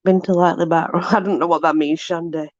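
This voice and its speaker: A woman's voice: lowish voice, steady tone, a little fast, darkish tone, no echo, lo-fi sound. A woman with a Yorkshire accent, reading aloud in a casual, chatty way with informal, exclamatory asides to a companion. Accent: Yorkshire English. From Yorkshire, England. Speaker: host reading aloud from a book.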